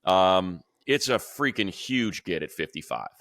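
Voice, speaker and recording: A male radio play-by-play announcer in casual sports talk, animated and talking in quick bursts with restarts. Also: low-pitched, no echo, clean studio sound. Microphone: video call.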